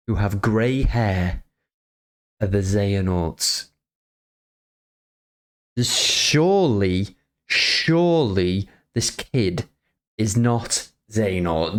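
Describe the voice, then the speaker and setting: A man hosting a reaction video, musing aloud hesitantly with many fillers. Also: on the low side. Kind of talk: casual reaction commentary.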